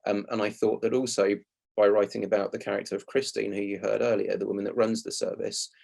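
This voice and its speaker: A man presenting a prepared public talk in a measured, articulate, explanatory way. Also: on the low side, creaky, a little mellow, husky. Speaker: playwright and actor giving a talk.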